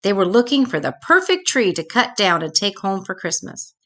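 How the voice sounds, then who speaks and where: A woman reading a children's storybook aloud expressively, with dramatic pauses. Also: mid-range voice, very animated, a bit bright.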